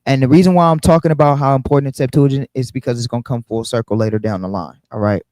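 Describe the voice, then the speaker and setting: A man's voice: medium pitch, fairly even intonation, fast, a little mellow, no room sound. A man teaching a casual bible study, often checking in with the listeners by asking "right?".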